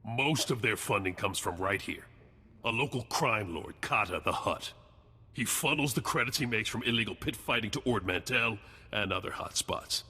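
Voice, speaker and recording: Low, husky, reverberant secret agent. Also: male voice, animated, moderate pace, sharp tone, exaggerated voice. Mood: urgent, conspiratorial. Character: clipped, world-weary male intelligence agent in space. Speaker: intelligence agent. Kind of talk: video game dialogue.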